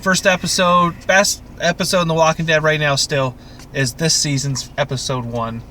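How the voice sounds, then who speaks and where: A man's voice: on the high side, fairly even intonation, normal speed, bright, forceful tone, a little hiss or hum. A man from Canada co-hosting a casual TV recap podcast, opinionated and swearing freely. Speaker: podcast co-host.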